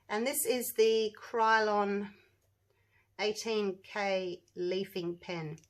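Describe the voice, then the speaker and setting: Croaky woman chatting through a craft demo. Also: medium pitch, a bit bright. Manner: chatty, rambling, talks to viewers while working. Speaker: resin craft channel host. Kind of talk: casual crafting tutorial.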